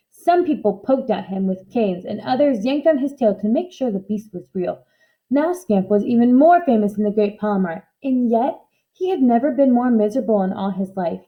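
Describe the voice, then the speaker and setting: A woman reading a children's storybook aloud in expressive narration. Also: on the high side, lo-fi sound. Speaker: storybook reader.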